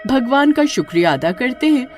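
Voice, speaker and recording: A woman's voice: high voice, sing-song, normal speed, darkish tone, faint music behind. A woman narrating a horror-comedy cartoon story in a dramatic, suspenseful way.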